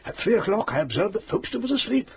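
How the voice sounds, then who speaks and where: An actor playing a fussy, pompous private inquiry agent who talks in long-winded formal phrases, in a radio drama. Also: male voice, sits high, sing-song, rapid-fire, a little hiss or hum.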